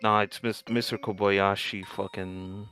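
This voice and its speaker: A man's voice: lowish voice, little pitch movement, a little slow, sharp tone, soft background music. A man co-hosting a game playthrough, chatting casually with wry, joking asides and free swearing. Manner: wry, riffing, swears freely. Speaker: co-host commenting on a game playthrough.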